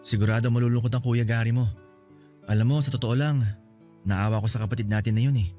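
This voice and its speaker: A man's voice: mid-range voice, fairly even intonation, quick pace, dark tone, soft background music, lightly acted. A man with a Filipino accent playing a caring, teasing older brother in a radio drama. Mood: concerned, gentle.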